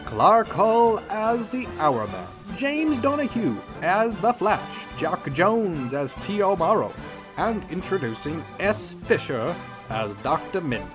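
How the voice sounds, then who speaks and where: A man's voice: high-pitched, sing-song, slow, over music. A man narrating and announcing in a theatrical 1940s radio style. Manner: showy, upbeat, theatrical.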